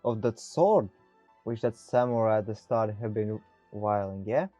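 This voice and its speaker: A non-native English-speaking man gives casual commentary as he plays. His voice is mellow and he thinks aloud hesitantly, on a slightly dull recording with faint game music. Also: lowish voice, unhurried. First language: not English. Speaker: gaming streamer.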